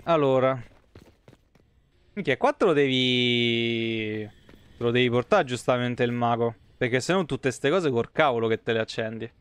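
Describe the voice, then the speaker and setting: A man's voice: mid-range voice, moderate pace, clear, resonant tone, no echo. A man, giving blunt, wry, sweary live commentary as he streams a video game. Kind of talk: casual live gameplay commentary.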